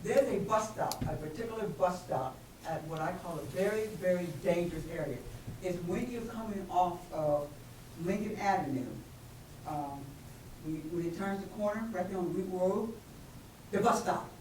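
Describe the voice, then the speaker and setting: Casting: female resident at a town meeting, booming, folksy and conversational, heard echoing across a noisy room. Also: moderate pace, a little mellow. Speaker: resident giving public comment. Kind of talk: conversational, informal public comment. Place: public meeting room, off the microphone. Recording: noisy echoey room, far from microphone.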